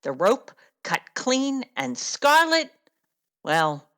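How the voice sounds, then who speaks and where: Actress as stage manager, radio drama, high animated voice, deliberate pacing, grim news-bearer. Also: female voice, heavily acted. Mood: grave, dramatic. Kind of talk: radio play acting.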